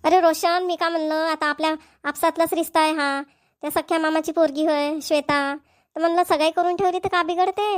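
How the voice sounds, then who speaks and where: Woman playing a high-pitched coaxing village mother. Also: fairly even intonation, brisk. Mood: persuasive. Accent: Varhadi Marathi. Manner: coaxing, repetitive. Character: persuasive, chatty middle-aged mother. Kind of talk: amateur cartoon family comedy dialogue.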